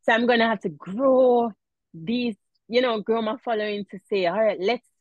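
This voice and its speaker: A woman with a Jamaican accent, chatting as a guest in a casual interview, animated and laughing often. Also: a little high, normal speed, no room sound, muffled recording. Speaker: guest, mom influencer.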